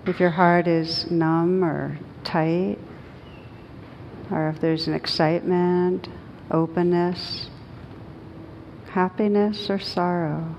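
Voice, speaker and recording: Low-voiced woman gently leading a meditation. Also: steady tone. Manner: gentle, unhurried, with long pauses. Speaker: meditation teacher. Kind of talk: guided meditation led for a group. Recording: clearly noisy with low rumble.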